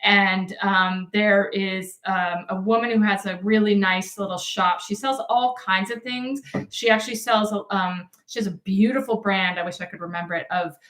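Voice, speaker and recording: A woman's voice: mid-range voice, steady tone, normal speed, hardly any pauses, a bit bright. Woman, middle-aged, rambling chatty co-host, slightly worn voice, roomy echo. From United States. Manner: chatty, rambling with many ums and restarts. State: losing her voice. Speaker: podcast co-host. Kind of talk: casual chat.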